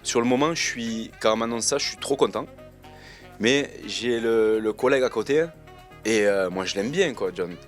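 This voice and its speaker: A man, answering interview questions in a relaxed, slangy way and pausing often with fillers. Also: mid-range voice, soft background music. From Marseille, France. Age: 20s. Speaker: reality TV contestant interviewed.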